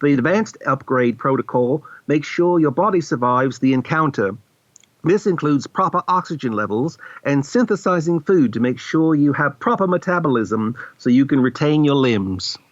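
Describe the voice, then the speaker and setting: A man's voice: fairly high pitch, expressive, moderate pace, no room sound, lo-fi sound, lightly acted. A man playing a matter-of-fact female spaceship computer who recites things formally, in a comedy radio play. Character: female ship computer co-host, matter-of-fact life-pod AI.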